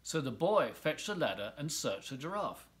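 Animated middle-aged man reading a children's story. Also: fairly high pitch, normal speed. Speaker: volunteer story reader. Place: living room at home, indoors.

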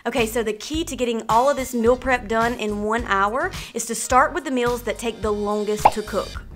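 A woman in her thirties hosting a cooking channel, walking through meal prep in clear step-by-step voice-over. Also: on the high side, moderate pace, faint music behind. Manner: clear step-by-step instructional delivery. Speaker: host of a healthy cooking channel. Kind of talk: upbeat recipe walkthrough with voice-over.

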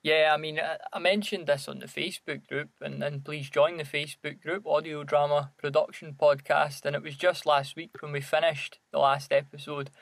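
A man's voice: fairly high pitch, little pitch movement, moderate pace, gravelly, few pauses, no room sound. A Scottish man co-hosting a podcast, chatting casually in a hesitant, reflective way. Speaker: podcast co-host.

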